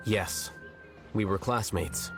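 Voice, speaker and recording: A low-pitched young male scholar, speaks with cool, blunt logic. His delivery is formal and measured, like an aloof video game character, over clearly audible music. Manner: measured, matter-of-fact, formal diction.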